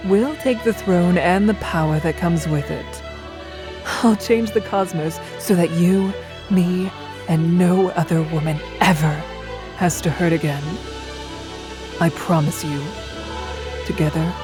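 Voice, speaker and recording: A woman playing a commanding, tender yet scheming goddess queen in a dramatic audio drama, speaking in a measured, persuasive way. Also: lowish voice.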